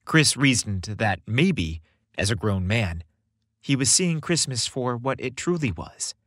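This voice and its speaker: A man's voice: medium pitch, very animated, measured pace, fairly bright tone, dry recording, clean studio sound. A man reading a scripted story as a podcast narrator.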